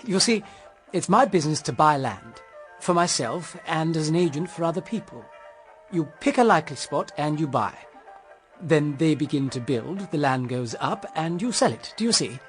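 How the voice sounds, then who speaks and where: A man's voice: fairly high pitch, animated, normal speed, faint music behind, close to an everyday voice. An actor playing an earnest, self-deprecating, lovestruck man in a period radio drama, speaking a little hesitantly. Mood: modest, genial.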